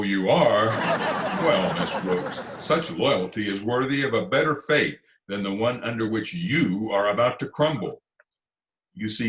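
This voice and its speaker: A man's voice: on the low side, a bit bright, poor audio. A man playing a pompous, easily angered middle-aged school principal in old-time radio comedy style, with theatrical, elaborate phrasing. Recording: remote home recording over video call.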